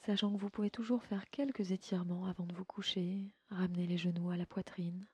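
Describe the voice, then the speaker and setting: A woman leading a guided sleep meditation in a gentle, soothing, unhurried way, often slipping into a breathy near-whisper. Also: fairly low pitch, steady tone, no room sound. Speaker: yoga nidra guide and podcast host. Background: music.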